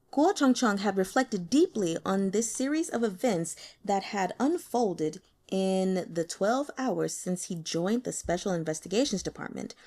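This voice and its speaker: A woman narrating a novel as an audiobook reader, in an expressive scripted style. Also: mid-range voice.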